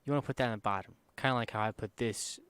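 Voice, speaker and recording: A man with a soft, quiet voice that sits a little low talks through a screen-recorded tutorial. He rambles informally and leans on fillers. He often stops mid-phrase to correct himself. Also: rapid-fire, clean tone. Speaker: streamer giving a software tutorial.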